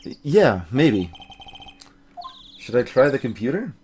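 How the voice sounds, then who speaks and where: A man's voice: medium pitch, big pitch swings, a little slow, a little mellow, slight background noise. A man voicing a young male defense lawyer in a video game, dry, wry and hesitant. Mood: focused, unsure.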